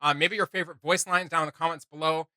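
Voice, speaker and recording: A man co-hosting a casual reaction video, talking in an animated, rambling way and searching for words aloud. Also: on the high side, quick pace, no room sound, studio quality. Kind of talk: casual rambling discussion to viewers.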